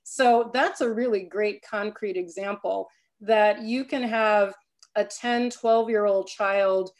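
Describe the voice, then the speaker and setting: A woman speaks as a panel guest in a mid-pitched voice. She argues her points with emphasis and energy. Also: steady tone, takes it slowly. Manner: impassioned, emphatic. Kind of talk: panel discussion.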